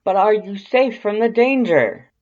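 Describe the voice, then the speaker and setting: A narrator voicing a tall, earnest male skeleton in an audiobook-style story, speaking loudly and urgently. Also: medium pitch, lively intonation, unhurried, lo-fi sound, voice pushed up, natural voice. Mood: alarmed. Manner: loud, emphatic, urgent.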